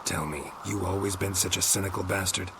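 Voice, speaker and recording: Man acting as a low-voiced, deadpan monster hunter. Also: fairly quick, background noise, close to an everyday voice. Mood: dry, curious. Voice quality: low, gravelly voice. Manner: terse, deadpan. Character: gruff, stoic, dry-witted monster hunter in fantasy. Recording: music behind the voice.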